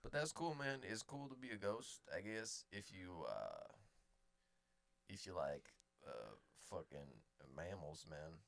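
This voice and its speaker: A man co-hosting a comedy podcast, rambling through absurd improvised bits in a deadpan way. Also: deep voice, slow, gravelly. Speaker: podcast co-host.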